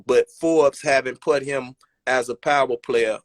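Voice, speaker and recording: Halting man hosting a stream. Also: mid-range voice, fairly even intonation, no echo. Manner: loose, halting, with many fillers and restarts. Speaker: live-stream host. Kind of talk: casual interview chat. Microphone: phone on a live video call.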